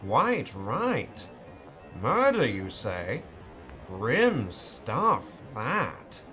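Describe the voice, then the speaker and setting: A man's voice: mid-range voice, huge pitch swings, extremely slow, pushed and bright, full character voice. A man playing a haughty, evasive English lord and minister in an audio drama, with pompous, clipped aristocratic British speech. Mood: breezy, evasive. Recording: dull, band-limited sound with music behind.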